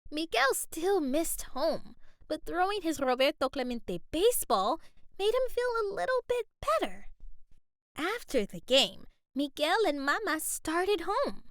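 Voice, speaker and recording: A woman narrating a children's picture book aloud in an expressive, carefully paced storytime style. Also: very high voice, unhurried, no room sound, studio quality.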